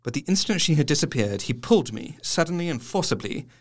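An actor playing an earnest, educated Victorian doctor, narrating his diary in a formal, measured way for an audio drama. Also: fairly high pitch, sing-song. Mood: grave.

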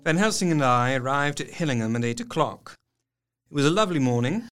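An actor playing an earnest, educated Victorian doctor, narrating his diary in a formal, measured way for an audio drama. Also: medium pitch, lively intonation, over music, close to an everyday voice. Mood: calm.

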